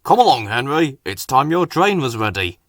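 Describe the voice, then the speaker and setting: A man's voice: mid-range voice, very animated, a little slow, fairly bright tone, no echo, studio quality, full character voice. A man voicing a stout, firm railway boss in a storybook read-aloud, clipped and commanding. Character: stout stern railway boss, brisk and kindly.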